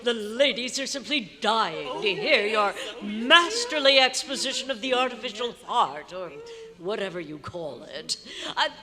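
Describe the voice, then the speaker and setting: Woman playing a gushing, slow-drawling society lady. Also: a little high, expressive, a crowd in the background. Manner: effusive, fluttery, drawn-out. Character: gushing, fussy society lady, trustee's wife. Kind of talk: old-time radio drama acting. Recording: echoey hotel hall live performance.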